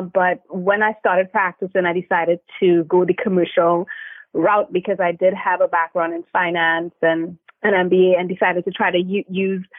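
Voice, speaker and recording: A woman's voice: lowish voice, dry recording. A woman from Trinidad and Tobago, an immigration attorney, telling her story as an interview guest with earnest fillers. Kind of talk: podcast interview, remote guest. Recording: tinny phone line.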